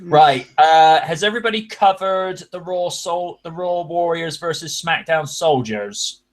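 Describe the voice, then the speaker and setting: Guy hosting an online panel, kinda high voice, chatty, tells people off w a laugh. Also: measured pace. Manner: chatty, laughing, scolding asides. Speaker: panel host. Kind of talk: casual online panel chat.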